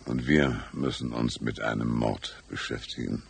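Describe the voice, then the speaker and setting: Very deep, gravelly old sheriff. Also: male voice, fairly even intonation, exaggerated voice. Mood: calm, musing. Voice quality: gravelly, husky. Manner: slow, ponderous. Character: slow, unhurried male small-town sheriff, older, fatherly. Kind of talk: noir radio drama acting.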